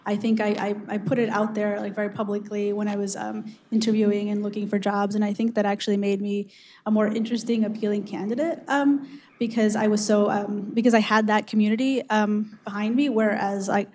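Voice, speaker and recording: A woman with a mellow, soft tone answers on a panel, pausing often with ums as she gathers her thoughts. Her speech feels unhurried and a little halting. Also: mid-range voice, little pitch movement, slight background noise. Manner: hesitant, many ums. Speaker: panelist, engineer.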